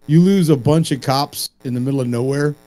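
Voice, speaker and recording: Joking, profane man with a mellow voice. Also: medium pitch, brisk. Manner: animated, profane, streetwise ribbing. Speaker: co-host. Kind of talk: casual, joking commentary.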